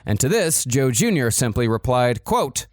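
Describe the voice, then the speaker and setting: A man with a mid-pitched, very animated voice tells a history story to his co-hosts, close and dry on the mic. He talks loosely and swears in passing. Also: moderate pace, no room sound. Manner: conversational, swears casually. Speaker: podcast host telling a history. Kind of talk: casual storytelling chat.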